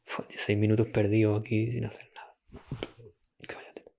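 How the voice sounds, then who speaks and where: Guy w a spain accent, lowish husky voice, grumbling casually between asmr takes. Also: monotone, fairly quick, dark tone. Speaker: ASMR video creator.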